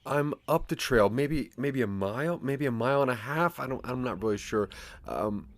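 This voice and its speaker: A man's voice: medium pitch, moderate pace, pitched above the performer's own voice, natural voice. A man voicing an anxious, snakebitten inventor phoning for help in an audio drama, hesitant and stammering.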